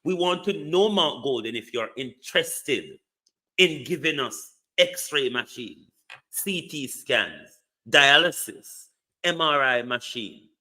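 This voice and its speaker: A man's voice: medium pitch, expressive, takes it slowly, sharp tone. A man giving an emphatic political commentary monologue, full of repeated rhetorical questions. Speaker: online commentator.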